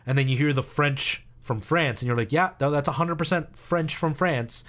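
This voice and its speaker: A man's voice: a little high, normal speed, fairly bright tone. Chatty Canadian man, casual streamer. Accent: generic Canadian English. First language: not English. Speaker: video game streamer. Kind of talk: casual livestream chat.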